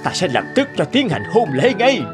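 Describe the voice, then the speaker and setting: A man's voice: high-pitched, very animated, normal speed, over music. A man voicing a stern, stately older noble master in a cartoon folk tale, speaking with authority. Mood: authoritative.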